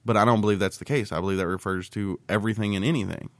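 A man's voice: lowish voice, fairly even intonation, racing, no room sound. A man chatting casually as a podcast co-host, with a rambling, folksy and teasing way of talking. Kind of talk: casual chat.

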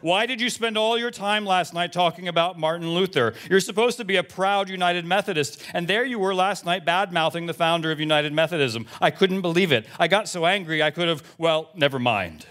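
A man's voice: high-pitched, fairly quick, few pauses, a bit bright. A man voicing a nosy, self-righteous Southern church lady in her seventies, indignant and blunt, in a comic reading of emails.

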